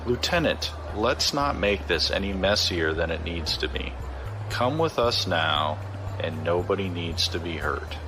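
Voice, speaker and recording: An actor playing a gruff, menacing, impatient corrupt police chief in a science fiction audio drama. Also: male voice, sits low, animated, a little slow, over music. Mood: menacing, cocky.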